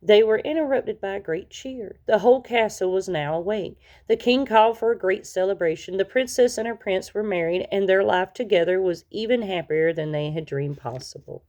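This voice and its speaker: A woman reading a children's story aloud as narrator, in an expressive storytelling manner that leans on key words. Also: mid-range voice, normal speed, few pauses. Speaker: storybook reader.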